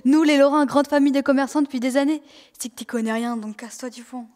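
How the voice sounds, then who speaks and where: Casting: young female player for mocking, slangy trader, high quick voice with reverb, audio drama. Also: steady tone, darkish tone, lightly acted. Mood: aggressive, mocking. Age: teenager. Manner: slangy, confrontational. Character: brash, mocking street-talking merchant. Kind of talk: amateur student audio drama.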